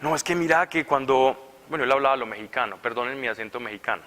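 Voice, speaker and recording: A man preacher giving an animated, conversational sermon full of stories at a retreat. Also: medium pitch, fast talker, big-room sound. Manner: animated, conversational, laughing at his own stories. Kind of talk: sermon with storytelling. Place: large hall at a retreat.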